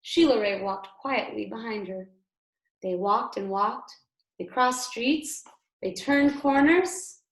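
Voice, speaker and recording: A woman's voice: on the high side, takes it slowly. A woman kindergarten teacher reading a storybook aloud expressively to young children, with emphasis and explanatory asides. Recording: echoey room.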